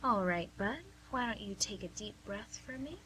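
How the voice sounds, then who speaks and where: Bright-voiced, coaxing nurse played by a woman. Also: mid-range voice, moderate pace, natural voice. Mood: sheepish. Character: cheerful, probing, slightly fumbling woman nurse named Angie. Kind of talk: radio drama acting. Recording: noisy amateur recording with faint hum.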